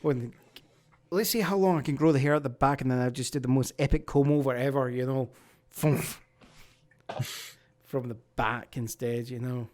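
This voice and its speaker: A man's voice: mid-range voice, normal speed, long pauses, darkish tone. A man of thirty-two chatting casually as a player, laid-back and laughing along. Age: 30s. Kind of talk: casual chat.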